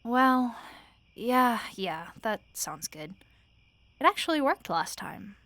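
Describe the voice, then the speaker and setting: A woman playing a tired, wry, sleepless roommate in a soft-spoken audio roleplay, talking casually and haltingly with fond, dry teasing. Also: a little high, very animated, dry recording, heavily acted. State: sleepy, weary.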